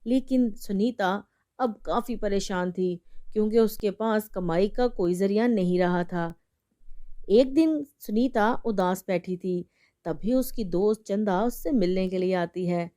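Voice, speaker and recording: A woman's voice: mid-range voice, measured pace, warm tone. A woman narrating a scripted story as the storyteller.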